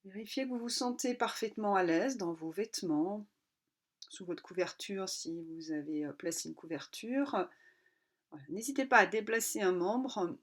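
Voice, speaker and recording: A woman yoga nidra instructor leading a guided relaxation in a calm, unhurried way, pausing between short instructional phrases. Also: mid-range voice.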